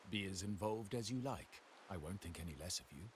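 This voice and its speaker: A proud, scheming heir from a video game, with a very deep voice that swings widely in pitch. He speaks formally and deliberately, with menace under the courtesy. A clear hiss sits behind the voice. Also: lively intonation. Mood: cool, guarded. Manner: measured, formal, veiled menace. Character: proud, calculating male heir of a powerful family. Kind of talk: scripted video game dialogue.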